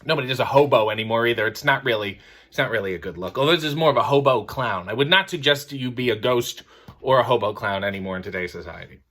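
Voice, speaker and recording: A man hosting a mock bedtime reading, over-explaining in a deadpan way as if talking to kids. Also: mid-range voice, quick pace. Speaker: host reading a children's book aloud. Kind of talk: mock bedtime story reading with dry commentary.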